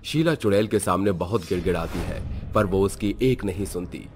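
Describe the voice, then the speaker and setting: A man's voice: low voice, moderate pace, a little mellow, a little hiss or hum. A man narrating a scripted horror story as its storyteller.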